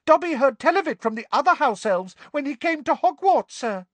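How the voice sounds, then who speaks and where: A man voicing a small, eager, devoted male house elf with a squeaky voice who refers to himself in the third person. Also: big pitch swings, brisk, fairly bright tone, no echo, heavily acted.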